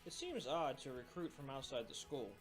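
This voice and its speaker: A skeptical male student played by a man speaks in an audio drama. His delivery is doubtful and questioning, at an average pitch, with music clearly audible behind him. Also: big pitch swings, normal speed, close to an everyday voice. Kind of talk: scripted audio drama dialogue.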